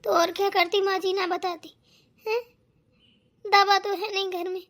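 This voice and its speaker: A woman voicing a put-upon young daughter-in-law in a family cartoon, plaintive, meek and quietly resentful. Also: very high voice, level tone, normal speed. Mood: pained, self-pitying. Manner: plaintive, complaining, dutiful. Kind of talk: Hindi family cartoon melodrama.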